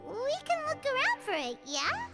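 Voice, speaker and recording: A small, pushy but friendly fairy companion in a video game. The voice is squeaky, childlike and extremely high, bouncing widely in pitch. Loud music plays underneath. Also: female voice, huge pitch swings, moderate pace, exaggerated voice. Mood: coaxing. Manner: refers to self in third person, chirpy. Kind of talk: video game character acting.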